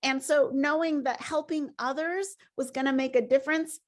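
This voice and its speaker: A woman's voice: high voice, steady tone, moderate pace. A woman hosting a webinar, upbeat and encouraging as she presents. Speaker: host.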